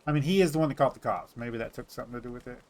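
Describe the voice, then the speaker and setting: A man's voice: medium pitch, racing, darkish tone. A man in casual, informal chat while playing a game, with loose colloquial phrasing, on a slightly noisy mic. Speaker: gaming channel co-player. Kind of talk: casual chat during gameplay. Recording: faint background noise on mic.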